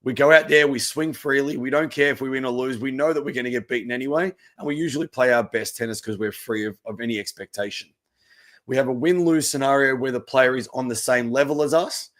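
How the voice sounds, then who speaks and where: A man, a tennis coach, giving a relaxed presentation with a croaky voice. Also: mid-range voice, quick pace. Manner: steady explaining, relaxed. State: hoarse.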